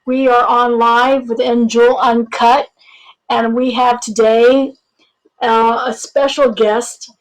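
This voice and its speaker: Female podcast host; higher pitch, even and flat delivery, informal and encouraging. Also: slow pace, low-quality recording. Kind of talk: casual interview intro.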